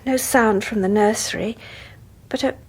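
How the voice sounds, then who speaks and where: A troubled young mother in a radio horror drama. Her voice sits fairly high and has a breathy huskiness. She speaks haltingly, with hushed, dramatic pauses and a haunted unease. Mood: haunted, uneasy. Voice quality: breathy, husky.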